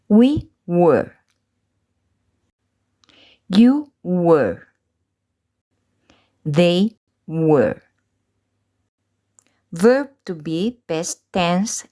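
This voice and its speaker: A woman teaching English through slow, deliberate pronunciation drills, pausing between short phrases. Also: on the low side, a little mellow. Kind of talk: slow drilled language lesson.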